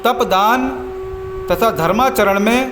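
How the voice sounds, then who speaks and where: A man narrating a scripted religious story as a devotional storyteller, in measured, formal phrases with frequent pauses. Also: sits high, background noise. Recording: echoey voice over background music. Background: background music.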